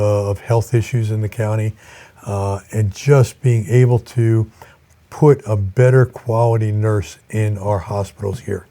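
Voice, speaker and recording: An older man speaking as a college president in an interview, reflective and measured, with halting pauses. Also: lowish voice, warm tone.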